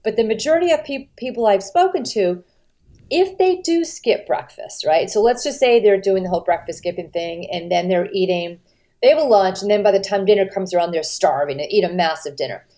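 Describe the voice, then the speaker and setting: A middle-aged American woman, a dietitian being interviewed, explaining in an animated, emphatic way with lively swings of pitch. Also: fairly quick. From United States. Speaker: registered dietitian, guest. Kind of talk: conversational podcast interview.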